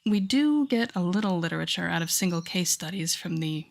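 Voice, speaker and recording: Low-voiced, teasing villainess played by a woman. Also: expressive, brisk, vocal fry. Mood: musing, wry. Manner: wry, teasing, conversational. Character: teasing, worldly female telepath villain mentoring a rookie hero. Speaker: audio roleplay performer. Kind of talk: intimate second-person audio roleplay acting.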